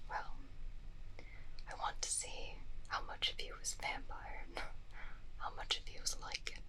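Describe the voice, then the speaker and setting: A woman playing an intrigued fantasy doctor in a whispered ASMR medical roleplay, speaking softly and deliberately with a curious bedside manner. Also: poor audio. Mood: intrigued, businesslike. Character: intrigued fantasy doctor examining a vampire-werewolf hybrid patient.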